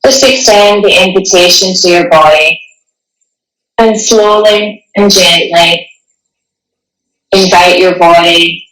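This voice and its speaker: A woman guiding a meditation in a gentle, soothing, unhurried way, with long pauses between phrases. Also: fairly low pitch. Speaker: meditation guide. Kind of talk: guided meditation, partly reading a passage. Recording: echoey room, distant microphone.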